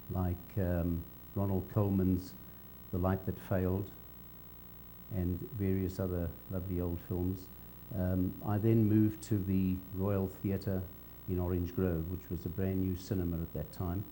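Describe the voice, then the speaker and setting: An older man with a South African accent recalls his working life in an interview, speaking in a measured, halting way. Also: warm tone. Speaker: interviewee, veteran cinema cameraman. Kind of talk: on-camera reminiscence. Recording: dull low hum, faint background noise.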